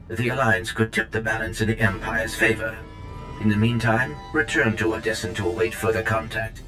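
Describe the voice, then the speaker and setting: Flat, formal servant droid. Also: male voice, lowish voice, poor audio. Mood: formal, loyal. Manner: formal, clipped, unemotional. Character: coolly formal, loyal male military servant droid. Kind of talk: scripted video game dialogue. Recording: music behind the voice.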